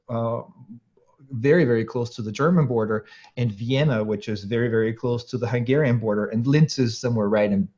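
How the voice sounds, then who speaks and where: A man in his late thirties, born and raised in Austria, talks through his answers in an interview with a mellow, warm tone. He keeps pausing on "uh" and "um" and restarting his sentences, and laughs lightly now and then. The recording sounds somewhat dull and lo-fi. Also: mid-range voice. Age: late 30s. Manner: thoughtful, many fillers and restarts, laughs often. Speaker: guest harpsichordist. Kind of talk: interview conversation.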